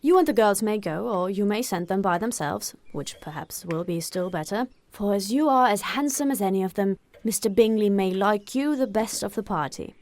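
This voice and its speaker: A wry middle-aged British gentleman husband voiced by a woman narrator in a classic-novel audiobook. He teases with reserved, sarcastic wit. The recording is dry and close. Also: mid-range voice, expressive, moderate pace, hardly any pauses, dry recording, lightly acted. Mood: dry, teasing. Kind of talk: audiobook character acting.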